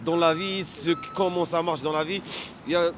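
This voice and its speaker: A man whose first language is Arabic, speaking French as a second language in a street debate, halting and insistent, often repeating words. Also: a little high, steady tone, music in the background. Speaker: street interviewee.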